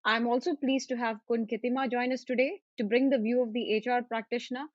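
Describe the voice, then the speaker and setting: A woman hosts a webinar, her voice sitting fairly high as she reads formal, scripted remarks with the odd filler. The sound is dry and a little dull, with no room around it. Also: steady tone, a little fast, no echo. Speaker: webinar host, value manager. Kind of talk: webinar moderation.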